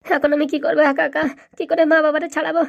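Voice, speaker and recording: Woman doing a whiny worried young guy in a cartoon, squeaky high, talks super fast. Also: flat delivery, creaky, muffled recording. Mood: desperate, lamenting. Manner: plaintive, fretful. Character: anxious, whiny, helpless young son. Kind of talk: comic cartoon dialogue.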